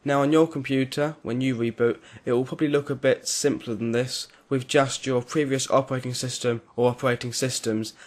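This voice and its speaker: Guy walking you thru a computer install, clear and steady, mid voice. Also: mid-range voice. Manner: clear step-by-step instruction. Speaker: tutorial creator. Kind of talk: screen-recorded software tutorial.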